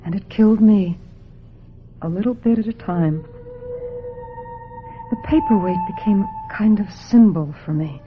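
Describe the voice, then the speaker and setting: A woman's voice: mid-range voice, a little slow, pauses often, narrow, tinny audio, music in the background, natural voice. A woman acting in a radio drama as a wistful, sensitive young American woman with a plaintive, earnest delivery. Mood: wistful, reflective. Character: wistful, sensitive young woman, reluctant beauty contestant turned actress.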